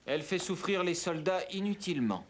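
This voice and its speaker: An actor playing an earnest, kindly young barber-surgeon in a cartoon, explaining things sincerely. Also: male voice, high-pitched, expressive, brisk, slight background noise. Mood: earnest, reassuring. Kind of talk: animated cartoon dialogue, French dub. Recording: music behind the voice.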